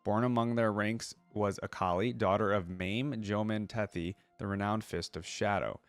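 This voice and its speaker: A man's voice: lowish voice, steady tone, moderate pace, smooth, no echo, faint music behind. A man hosting a reaction video, mixing casual commentary with reading aloud and thinking things through as he goes.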